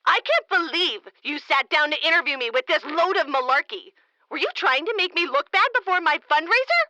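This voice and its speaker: A woman's voice: high-pitched, lively intonation, fairly quick, sharp tone, no room sound, low-quality recording. A woman playing an imperious, indignant mayor, haughty and scolding, in a comedic audio drama. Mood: indignant, threatening.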